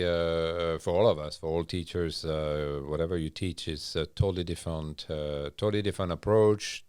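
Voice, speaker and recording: A man's voice: low voice, clear, resonant tone, no room sound. A middle-aged man from France with a French accent, a culinary instructor chatting in an interview, hesitant and full of fillers. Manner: hesitant, many fillers and restarts. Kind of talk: casual interview chat.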